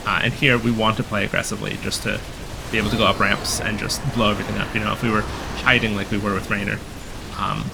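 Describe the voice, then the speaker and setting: A man calmly explaining a game strategy while he plays, a little hoarse from a cold, with many filler ums. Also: lowish voice, background noise. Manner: relaxed, explanatory, frequent ums. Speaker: gameplay guide commentator. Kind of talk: casual gameplay commentary.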